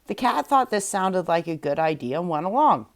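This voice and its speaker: A woman storyteller narrating a fairy tale aloud in an expressive read-aloud style. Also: on the low side, normal speed.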